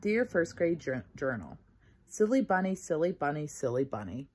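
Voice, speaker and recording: A woman's voice: sits low, sing-song, normal speed, a little mellow, breathy edge, exaggerated voice. A woman voicing a cheeky, stubborn little first-grade girl in a storybook, defiant and pleased with herself, with childish grammar. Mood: grumbling.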